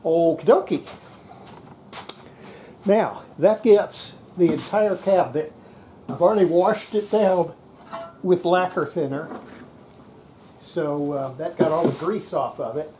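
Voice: medium pitch, slow, long pauses, dark tone. An older radio repairer giving casual, folksy hands-on commentary while working at a bench in an indoor workshop. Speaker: radio repairer presenting a restoration. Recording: noisy workshop camera audio with clicks.

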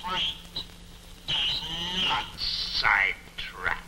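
An actor playing an imperious criminal mastermind giving slow, deliberate orders in a 1940s film serial. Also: male voice, medium pitch, big pitch swings, whispered. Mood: cold, commanding. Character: commanding masked male crime boss giving orders over a device. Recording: noisy old 1940 film soundtrack.

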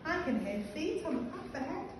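A woman's voice: high voice, big pitch swings, fairly quick, voice pushed up. A woman voicing a big, hungry, gullible male monster with emphatic storybook delivery. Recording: roomy, distant classroom recording, faint noise.

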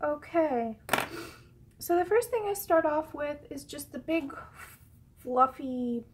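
High-voiced woman with a sniffly head cold. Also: expressive, slow pace. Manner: chatty and rambling, many ums and restarts, drops to soft whispers at times. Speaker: coloring tutorial host. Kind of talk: casual real-time drawing tutorial.

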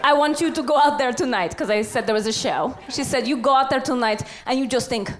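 A woman co-hosting a live comedy podcast on stage, joking in an animated, mock-glamorous way. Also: high-pitched, fairly quick, a little hiss or hum. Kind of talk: comedic live banter with an audience. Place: on stage in a theater before an audience. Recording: live theater with loud crowd laughter. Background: loud audience laughter and cheering.

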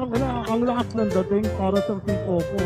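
A man's voice: high voice, steady tone, fast. A man with a Filipino accent chatting casually with fellow riders over a helmet intercom. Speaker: motorcycle rider. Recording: loud music over intercom talk.

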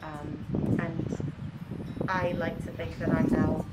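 Earnest young woman, slightly low voice. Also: flat delivery, slow pace, distant mic, heavy background noise. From Kenya. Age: 20s. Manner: earnest, with fillers and restarts. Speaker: school counseling intern. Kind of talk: interview answers. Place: outdoors on a bench at a school campus. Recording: voice set back from the mic, music underneath.

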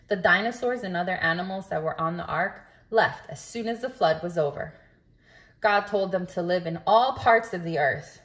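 Female storyteller, mid-range pitch, children's read-aloud, teacherly delivery aimed at young listeners. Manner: instructive, addressing young listeners with questions. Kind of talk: reading a children's picture book aloud.

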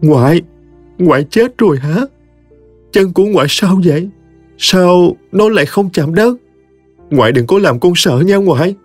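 Man putting on a crying young woman, high strained pleading. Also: animated, a little fast, no echo, soft background music. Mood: anguished. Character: grieving young woman, desperate and tearful.

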